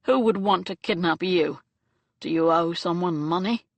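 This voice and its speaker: A brooding young gentleman, blunt and scornful, as a woman narrator puts him on. The voice drops lower and quieter than the narration, with clipped delivery. The recording is close and dry. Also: moderate pace, no echo. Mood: cold.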